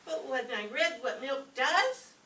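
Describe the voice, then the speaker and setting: A woman's voice: high-pitched, very animated, measured pace, sharp tone, heavily acted. A woman playing a naive, scatterbrained, easily frightened young roommate in a radio comedy, earnest and literal-minded. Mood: earnest, dim. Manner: earnest, literal-minded delivery of silly logic. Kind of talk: live old-time radio comedy acting. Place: echoey room, mic at a distance. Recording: roomy, distant live stage recording, faint noise.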